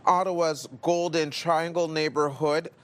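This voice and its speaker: A man's voice: on the high side, fairly even intonation, unhurried, smooth. A man anchoring a television newscast with scripted, presentational delivery. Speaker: news anchor.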